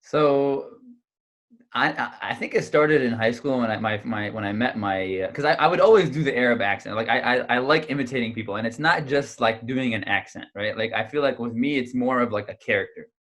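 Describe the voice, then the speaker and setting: Animated young male comedian. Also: mid-range voice, fairly quick. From United States. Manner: animated storyteller, many fillers and restarts. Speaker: stand-up comedian guest. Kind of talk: casual interview chat. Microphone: video call.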